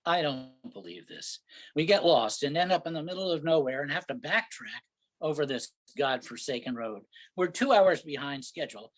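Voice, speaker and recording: A man's voice: on the high side, rough recording. A man playing an impatient, sarcastic, exasperated husband on vacation in an amateur staged play reading. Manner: sarcastic, griping, incredulous.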